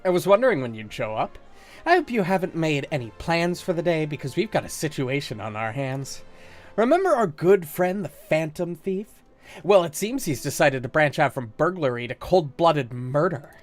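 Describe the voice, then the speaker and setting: A man's voice: on the high side, very animated, normal speed, soft background music. A man reading a wry newsman. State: slight cold, a little congested. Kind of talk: reading game dialogue aloud.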